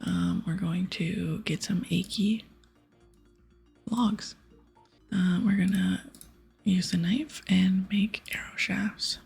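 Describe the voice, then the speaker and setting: A woman whispers her way through a video game for ASMR, close to the mic. Her voice is breathy and crackles with fry, and she takes her time over narration and dialogue, with soft music behind her. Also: mid-range voice, fairly even intonation, takes it slowly, long pauses. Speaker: ASMR gaming host.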